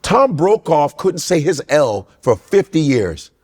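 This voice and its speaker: A man co-hosting a podcast, telling comic stories in an animated way and slipping into voices and accents. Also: a little high, slow. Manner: lively, slips into voices and accents to act out stories. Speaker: comedian and podcast co-host.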